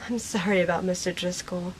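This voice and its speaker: A woman playing a kind, gentle young friend in an amateur audio drama. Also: on the low side, fairly even intonation, fairly quick, slightly rough, rough recording. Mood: sympathetic.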